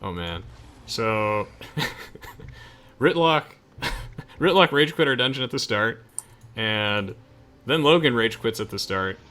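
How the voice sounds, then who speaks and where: A man's voice: mid-range voice, animated, slow pace, long pauses, bright, forceful tone. A young man giving casual video game commentary to friends as the host of a playthrough. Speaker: let's play host. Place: game voice chat with music behind.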